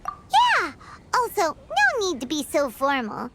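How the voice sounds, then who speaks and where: A woman voicing a small, chirpy, eager fairy-like girl companion with a squeaky childlike voice in a video game. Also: wildly swooping pitch, slow, heavy background noise, heavily acted. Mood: cheerful, eager. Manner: peppy, refers to self in third person. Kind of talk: video game cutscene acting. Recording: music behind the voice.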